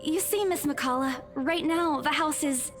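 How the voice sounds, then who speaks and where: A timid young female estate agent in a visual novel. Her voice is very high, hesitant and apologetic, with light music underneath. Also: unhurried. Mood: flustered, apologetic.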